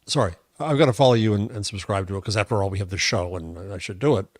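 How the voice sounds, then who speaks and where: An older man chatting as a podcast co-host, giving his opinions in a wry, halting way. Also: fairly low pitch, crowd noise. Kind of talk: casual opinionated discussion.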